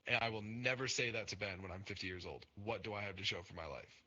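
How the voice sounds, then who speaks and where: A man's voice: lowish voice, fast, sharp tone, dull audio, slight background noise. A young man hosting a casual podcast, talking earnestly and rambling with many fillers and restarts. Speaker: podcast host and Twitch streamer.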